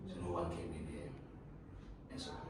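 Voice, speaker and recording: A man's voice: extremely low pitch, normal speed. Man guiding a tour in echoey stone rooms. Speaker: tour guide. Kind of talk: guided tour explanation. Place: stone castle rooms and dungeons, indoors. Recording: echoey stone rooms, far from the camera, with noise.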